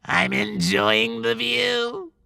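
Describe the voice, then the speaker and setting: Snide, creaky little sidekick. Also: mid-range voice, steady tone, extremely slow, bright, forceful tone, no echo, studio quality, heavily acted. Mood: cocky. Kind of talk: cinematic audiobook acting.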